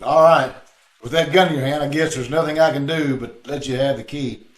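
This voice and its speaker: A quick-witted, cocky sleuth from a 1940s radio play, acted by a man. He trades slangy wisecracks in a slightly high voice. The audio has a pronounced room echo. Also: steady tone, lightly acted. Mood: coolly bantering. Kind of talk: 1940s radio detective acting. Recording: roomy, echoing video-call audio.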